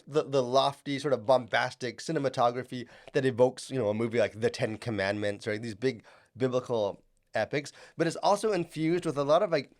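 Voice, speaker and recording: A man who is sick and has lost his voice, chatting casually with many fillers and restarts as he reviews a film for his channel. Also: medium pitch.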